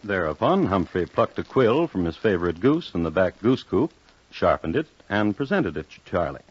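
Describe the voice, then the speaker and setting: A man's voice: low-pitched, very animated, normal speed, breathy edge. A man narrating a scripted old-time radio crime story in a droll, formal way. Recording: muffled vintage radio recording. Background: dramatic music.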